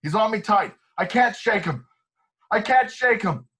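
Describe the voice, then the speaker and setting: A man's voice: high-pitched, expressive, measured pace, a bit bright. A man playing a frightened young rebel pilot under pursuit in a sci-fi script table read. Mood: panicked.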